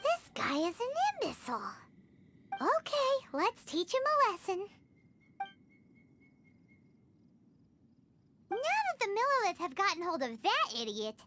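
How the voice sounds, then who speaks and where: A woman playing a tiny, bossy, childlike fairy companion in a video game, squeaky and excitable. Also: moderate pace, slight background noise, heavily acted. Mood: scornful. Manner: squeaky, childlike, refers to herself in third person. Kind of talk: English video game voice acting. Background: game music.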